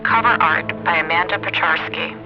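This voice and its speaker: A high-voiced man reads the closing credits in an even, measured tone. The sound is a little dull, and music plays clearly underneath. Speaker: credits announcer. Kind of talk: scripted credits read.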